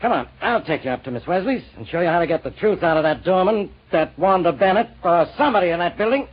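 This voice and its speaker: An actor playing a blustery, stubborn, sarcastic police inspector in a 1940s radio detective drama. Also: male voice, high voice, animated, fast talker, faint music behind. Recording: muffled vintage radio recording.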